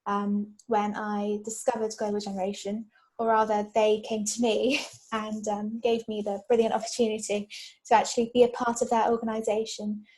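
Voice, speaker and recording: A woman's voice: mid-range voice, completely flat delivery, moderate pace, a little mellow, poor audio. A young woman introducing herself informally as a member of a community organisation on an online call. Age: young adult.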